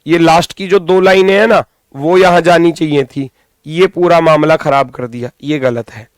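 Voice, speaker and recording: High-pitched male teacher with a strained throat. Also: steady tone, normal speed, no room sound, clean studio sound. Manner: informal, directive, repeats phrases for emphasis. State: strained throat, recovering from lost voice. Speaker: reasoning teacher for exam coaching. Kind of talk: live class lesson, thinking aloud.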